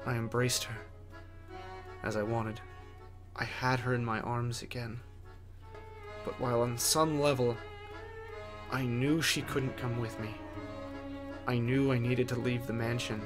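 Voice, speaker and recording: A man narrating a first-person horror story in a theatrical way, with deliberate pauses. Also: mid-range voice, level tone. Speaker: writer and narrator. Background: music.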